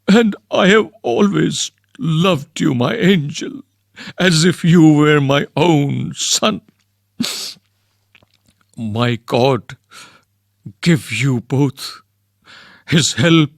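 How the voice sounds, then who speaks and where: Man voicing a gushing old landowner, slow, gravelly, swooping pitch. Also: no echo, studio quality, full character voice. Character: fussy effusive old male landowner. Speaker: reader of a play part. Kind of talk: amateur play reading.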